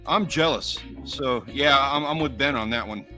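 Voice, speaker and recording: An American man co-hosting a livestream, chatting in a loose, easygoing way. His voice is bright and forward. Faint music plays under him. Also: mid-range voice, moderate pace. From United States. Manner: laid-back, chatty, reads viewer names and runs polls. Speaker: livestream co-host. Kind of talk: casual livestream chat.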